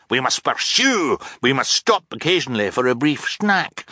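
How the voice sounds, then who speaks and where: Man acting a blustering, excitable submarine captain. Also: mid-range voice, no echo, clean studio sound, voice pushed up, full character voice. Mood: urgent, rousing. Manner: exclamatory, urgent, repetitive bursts. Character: blustering, frantic, bombastic male submarine captain. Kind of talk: audiobook character voice.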